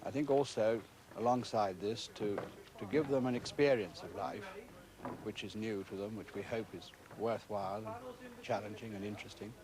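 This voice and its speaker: Low-voiced British school principal, formal. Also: male voice, fairly even intonation, moderate pace, crowd noise. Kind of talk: formal interview explanation.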